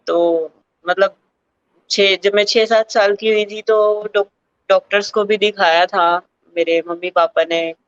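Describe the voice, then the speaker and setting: A woman's voice: mid-range voice, no room sound, lo-fi sound. Young woman sprinter, candid and thoughtful. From Uttar Pradesh, India. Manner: thoughtful, many fillers, mixes English words into Hindi. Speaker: para-athlete sprinter, interview guest. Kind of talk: candid personal interview.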